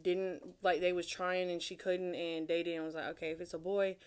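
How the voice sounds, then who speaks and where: A young woman guest telling her life story in a relaxed, casual way, swearing now and then. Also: fairly low pitch, moderate pace, smooth. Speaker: podcast guest. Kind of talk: casual chat interview.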